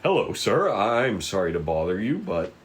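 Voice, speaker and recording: A man's voice: on the low side, sing-song, slight background noise. A man playing a polite, composed FBI agent who speaks formally, in a script table read. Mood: polite, firm. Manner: courteous, measured, formal.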